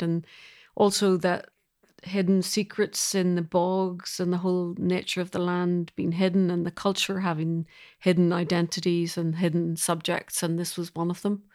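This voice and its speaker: A woman's voice: on the low side, level tone, normal speed, darkish tone. A woman with a Belfast accent speaking thoughtfully as an interview guest, explaining her work in a reflective, conversational way. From Belfast, Northern Ireland.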